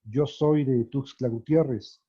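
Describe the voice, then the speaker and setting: Dark, husky lecturer. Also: male voice, medium pitch, fairly even intonation, slow pace, lo-fi sound. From Mexico. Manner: thinking aloud with many fillers and restarts.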